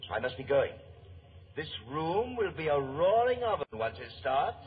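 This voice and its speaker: An actor playing a suave saboteur, mockingly courteous and menacing in a 1940s radio mystery. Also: male voice, a little high, big pitch swings, moderate pace. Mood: mockingly polite menace. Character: suave, gloating German saboteur villain, menacingly polite. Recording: muffled, noisy 1940s radio recording.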